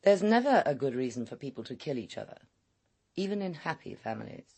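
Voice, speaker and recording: A woman's voice: extremely low pitch, big pitch swings, brisk, gravelly, darkish tone, breathy edge, exaggerated voice. An actress playing a stiff, guarded lady's maid, terse and formal, in a radio drama. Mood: stiff, cool. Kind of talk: British radio drama acting.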